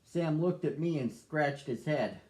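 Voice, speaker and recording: A lonely young dog tells his own storybook tale, voiced by a man. The delivery is slow and earnest, sitting a little high. It sounds like a picture book read aloud to children. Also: a little mellow, close to an everyday voice, low-quality recording. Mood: wistful. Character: sad lonely young male pet-shop dog.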